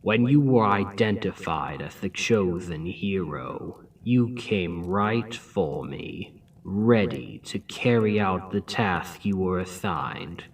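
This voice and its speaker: A man voicing a scheming, seductive demon king spirit in an ASMR roleplay, taunting and mocking in a low, intimate tone that drops into whispers. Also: animated, husky, far from the mic, exaggerated voice. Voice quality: deep, slow, intimate. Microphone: close ASMR mic.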